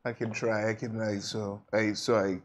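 A man playing a tabletop game, describing his character's actions in a rambling, animated way full of "like"s. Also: fairly low pitch, moderate pace. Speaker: player. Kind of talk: tabletop roleplay narration.

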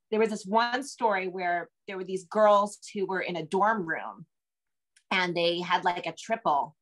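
A middle-aged American woman speaking as an author guest on a video call, explaining casually and often circling back to rephrase. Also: medium pitch. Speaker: novelist and screenwriter guest. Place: room with bookshelves, indoors.

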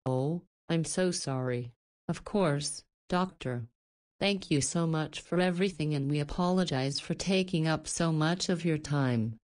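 A woman acts out the motherly head of a halfway house in a visual novel. Her voice is notably low. She chats and teases with a playful, scolding manner. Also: a little slow, darkish tone. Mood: polite, grateful.

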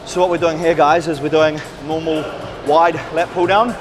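A fitness vlogger in his thirties walks viewers through a back workout in a large indoor gym, his voice a touch higher than most men. He coaches and counts reps with energy. Loud music pumps behind him. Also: little pitch movement, moderate pace. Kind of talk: casual gym vlog commentary.

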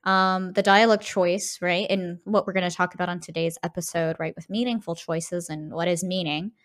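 A woman's voice: fairly low pitch, fairly even intonation, moderate pace. Analytical woman podcast co-host, conversational. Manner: analytical, often seeks agreement with "right?". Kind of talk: conversational game discussion.

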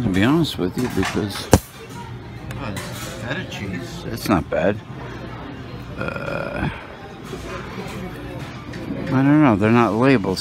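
Male food vlogger, unhurried, thinking out loud on a handheld phone, somewhat low voice. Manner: rambling, musing aloud with many fillers. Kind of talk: casual narration while filming. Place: indoor buffet restaurant. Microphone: phone camera held while walking.

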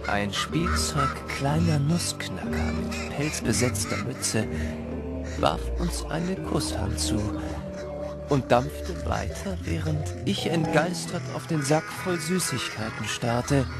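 A man's voice: medium pitch, lively intonation, takes it slowly, gravelly. A man narrating a fanciful Christmas tale in the first person, as scripted audio drama storytelling. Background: loud music.

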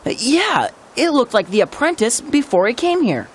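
A woman's voice: fairly high pitch, big pitch swings, normal speed, breathy edge. A woman voicing a skeptical, inquisitive young detective in a cartoon game. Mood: suspicious. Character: skeptical, sharp-eyed young detective in kids' gang.

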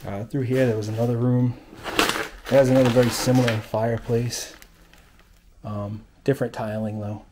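A man's voice: medium pitch, flat delivery, normal speed, long pauses, noisy background. A man giving a casual, understated, matter-of-fact walk-through as an exploring vlogger. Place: indoors, inside an abandoned old house.

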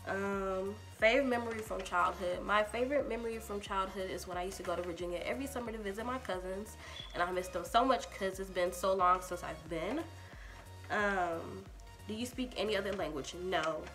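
A woman's voice: mid-range voice, normal speed, fairly bright tone, soft background music. A young woman from Texas with a Liberian accent, chatting through a get-to-know-me Q&A with lots of ums and giggles, filmed indoors in a small room. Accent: Liberian English. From Texas, USA. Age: young adult. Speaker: YouTuber.

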